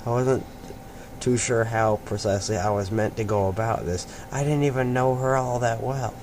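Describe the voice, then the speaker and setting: Man reading aloud over clear background noise. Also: medium pitch, moderate pace. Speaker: fan fiction reader and host. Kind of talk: amateur read-aloud of a story with casual asides. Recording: noisy amateur home recording.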